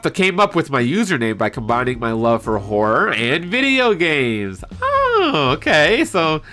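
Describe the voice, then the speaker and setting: A man chatting casually on a live stream in an animated, hesitant way, reading out and answering viewer comments. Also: medium pitch. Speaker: livestreamer and amateur voice actor. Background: piano background music.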